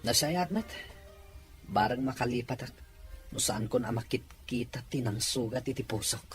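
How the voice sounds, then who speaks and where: A man's voice: mid-range voice, lively intonation, normal speed, soft background music, lightly acted. A man playing a lovesick, wounded man in a romantic drama. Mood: heartbroken. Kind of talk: acted dialogue drama.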